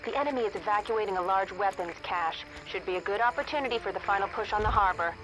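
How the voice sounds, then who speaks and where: A woman's voice: medium pitch, quick pace, music in the background, low-quality recording. A woman playing a curt, demanding military commander giving clipped orders over the radio in a video game. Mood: brisk. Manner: clipped, authoritative radio procedure. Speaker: military mission controller. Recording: battle noise behind radio voice.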